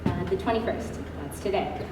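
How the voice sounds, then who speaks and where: A young woman acting a patient, matter-of-fact, gently teasing wife in an amateur stage comedy, with deliberate, projected delivery. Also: close to an everyday voice. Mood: helpful. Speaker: actor. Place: indoor stage, recorded from far off. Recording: distant, echoey, noisy low-quality stage recording.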